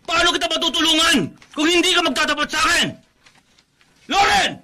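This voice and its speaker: A man's voice: extremely high pitch, steady tone, a little fast, background noise. An actor playing a worried middle-aged father who pleads anxiously with his son.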